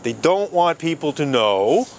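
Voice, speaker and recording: A man with a fairly high, animated voice argues with insistence on a busy street, and often repeats himself to hold his ground. Traffic and city noise run clearly behind him in the outdoor recording. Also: a little slow. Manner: argumentative, insistent, laughs between points. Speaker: street protester. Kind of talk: heated street argument.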